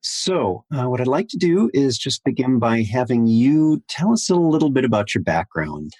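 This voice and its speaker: A man hosting a podcast interview, speaking in a measured, gently appreciative way. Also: medium pitch, sing-song, dry recording. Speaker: podcast host.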